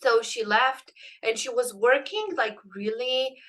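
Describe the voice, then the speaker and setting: A young woman with a Romanian accent tells her story as a podcast guest. Her voice is bright and forward, and she talks in an earnest, searching way, full of ums and restarts. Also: fairly high pitch, measured pace. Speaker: guest, transitions coach. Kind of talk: personal storytelling in a podcast interview.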